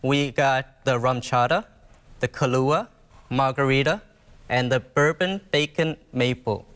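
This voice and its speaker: A man's voice: medium pitch, unhurried, a bit bright. Casting: courteous man chef on TV, short replies, ringing reverb. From South Africa, grew up in Taiwan. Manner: polite, brief answers, repeats affirmations. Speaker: executive chef guest. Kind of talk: TV interview. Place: outdoor zoo kitchen set.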